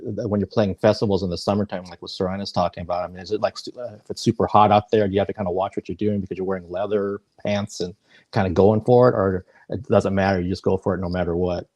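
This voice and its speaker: A man hosting a live-streamed video-call interview, chatty and relaxed, with many fillers and restarts. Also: on the low side, dry recording. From United States. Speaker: interview host.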